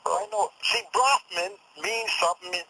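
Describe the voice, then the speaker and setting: Raspy-voiced man, very high pitch for a man, agitated radio caller, challenging, halting repeats. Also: bright, forceful tone. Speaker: phone-in caller and regular commentator. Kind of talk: opinionated phone-in argument. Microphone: telephone. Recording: phone call on radio broadcast.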